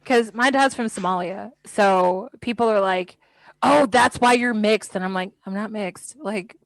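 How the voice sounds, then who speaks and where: A woman in her thirties co-hosting a podcast in casual chat, blunt and animated, swearing when something annoys her. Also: medium pitch, measured pace, vocal fry, no room sound, rough recording. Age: 30s. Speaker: podcast co-host.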